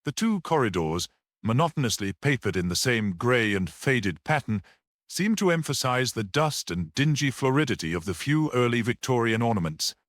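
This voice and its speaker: A man's voice: medium pitch, very animated, normal speed, no echo, studio quality. A man reading a mystery story aloud as an audiobook narrator.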